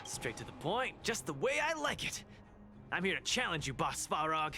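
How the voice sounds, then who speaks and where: A man's voice: a little high, very animated, normal speed, pushed and bright, heavily acted. A man voicing a cocky, friendly young male street fighter who talks brashly and upbeat, often laughing. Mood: brash cheerful. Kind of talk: studio video game voice acting. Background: game music.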